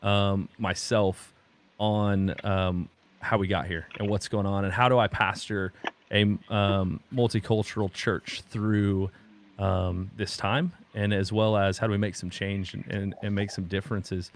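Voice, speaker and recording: A man in his thirties from Oklahoma, a pastor talking in a halting, reflective way with many restarts during a candid conversation. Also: fairly low pitch, level tone, slight background noise. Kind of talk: candid conversation on a video call.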